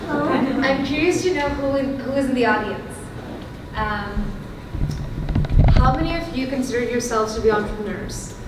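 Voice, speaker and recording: A young woman from India tells her own story to an audience in an Indian accent, candid and conversational with plenty of ums. Her voice sits slightly high. It carries from a distance through a very echoey hall with steady background noise. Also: moderate pace. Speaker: startup founder giving a talk. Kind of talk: storytelling lightning talk. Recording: very echoey hall from far off, noisy.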